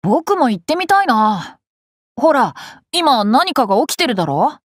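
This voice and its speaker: A woman's voice: high-pitched, very animated, a little slow, no echo, studio quality, exaggerated voice. A woman voicing a cheerful, curious boy king for an anime game, boyish and polite, with playful self-assurance. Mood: thoughtful, candid. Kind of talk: Japanese anime game character lines.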